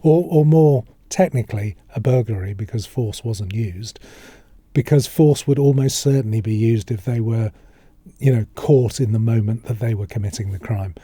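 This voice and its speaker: Middle-aged male ex-police co-host, dark husky voice, frank and matter-of-fact. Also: medium pitch, moderate pace, no echo. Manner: blunt and opinionated. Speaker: former police officer, co-host. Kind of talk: casual chat.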